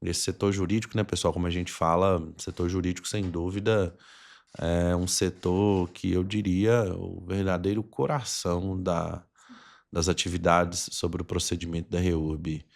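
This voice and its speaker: A Brazilian man hosts a podcast in a deep voice. He speaks in formal, wordy sentences, setting things out as explanatory monologue. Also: level tone, moderate pace, creaky. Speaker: podcast host.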